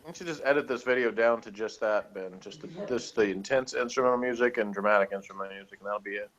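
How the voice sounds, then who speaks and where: A man's voice: lowish voice, quick pace, fairly bright tone, dull audio. A man co-hosting a casual TV episode review, sarcastic and mock-exasperated in his manner.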